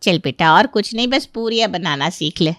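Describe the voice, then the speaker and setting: A woman's voice: fairly low pitch, animated, normal speed, a bit bright, dry recording, clean studio sound. A woman playing a fretful, chatty middle-aged auntie in a cartoon story. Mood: concerned.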